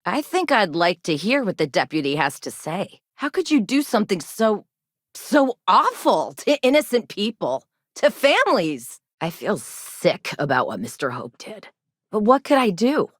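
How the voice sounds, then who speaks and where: Earnest, troubled woman, animated pitch. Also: normal speed, no room sound, studio quality. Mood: dismayed. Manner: sincere, hesitant, emotional. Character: earnest, loyal company employee woman, soft-hearted and troubled. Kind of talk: video game character dialogue.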